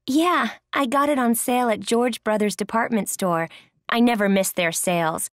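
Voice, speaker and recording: A cheerful, chatty woman played by an actress speaks scripted language-course dialogue. Her voice is fairly high and the recording is dry. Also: normal speed, no room sound, lightly acted. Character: cheerful, chatty woman sharing news with friends.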